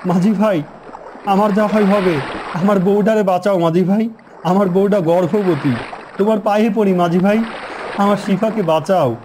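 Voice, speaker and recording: A man's voice: sits high, steady tone, moderate pace, natural voice. A man voicing a desperate young husband in a horror cartoon, crying out and begging, with noisy water sounds. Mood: desperate, pleading. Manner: pleading, crying out.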